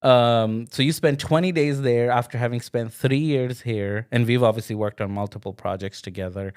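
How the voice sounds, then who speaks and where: A man's voice: medium pitch, steady tone, normal speed, a little mellow, clear, resonant tone. A man from India hosting a podcast, chatting in an easygoing, friendly way with fillers and laughs along the way. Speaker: podcast host. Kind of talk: casual interview chat.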